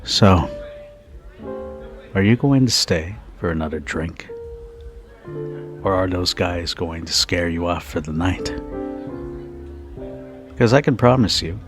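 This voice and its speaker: A man playing a confident, protective bartender in an ASMR roleplay, teasing and flirty in an intimate, unhurried manner. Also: sits low, expressive, music in the background. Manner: teasing, unhurried, with soft chuckles and pauses. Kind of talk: ASMR roleplay, intimate second-person monologue.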